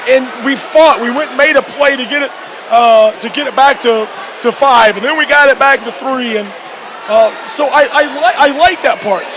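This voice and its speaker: A man, a high school basketball coach, giving a postgame radio interview with repeated phrases and halting restarts. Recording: noisy gym with loud crowd behind. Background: crowd and clear background noise.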